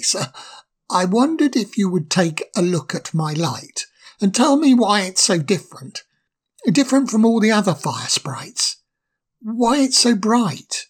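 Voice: mid-range voice, voice pushed up. A storyteller voicing a shy young male fire sprite who stammers and apologizes. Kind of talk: storybook reading.

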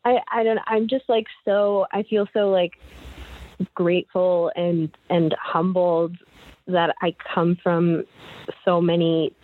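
Slow, hesitant young woman on a tinny line. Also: on the low side, fairly even intonation. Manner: hesitant, rambling, many restarts and nervous laughs. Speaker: guest. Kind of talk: casual interview chat. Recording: dry, tinny phone-like line.

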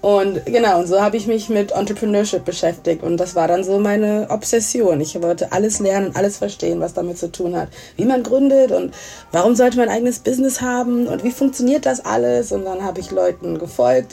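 A woman's voice: mid-range voice, creaky, mellow. A thirty-year-old woman from Germany chatting casually as an interview guest, mixing English words into her talk. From Germany, grew up in Essen.

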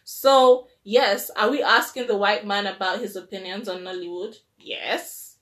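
A woman's voice: medium pitch, fairly even intonation, a little slow, fairly bright tone. A woman hosting a podcast, running a quiz game with playful teasing and frequent laughter. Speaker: podcast host. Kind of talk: casual chat, quiz game.

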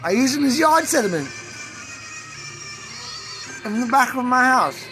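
Brief: man in his 30s, high animated voice, crude boastful street rambler, loud music behind. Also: a little slow, rough recording. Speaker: street musician being interviewed. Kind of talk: rambling crude joking chat.

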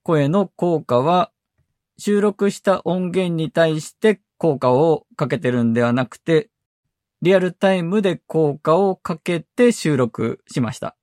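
A man with a slightly high voice narrates a tech explainer in a very dry recording. He goes through things methodically, step by step, as a podcast host. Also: lively intonation, takes it slowly, darkish tone, no echo.

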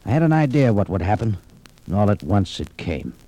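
An actor playing a tough, wry adventurer in an old radio detective drama, with punchy hardboiled delivery. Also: male voice, on the low side, very animated, a little fast, warm tone. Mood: grim narration. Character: tough, wry male adventurer narrating his exploits. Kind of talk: 1940s-50s radio detective drama acting. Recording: slightly noisy old radio recording.

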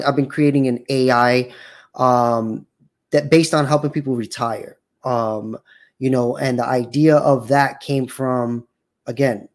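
A man in his forties hosting his own show, talking casually and rambling with many fillers and restarts. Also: mid-range voice. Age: 40s. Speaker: show host. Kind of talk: casual livestream talk.

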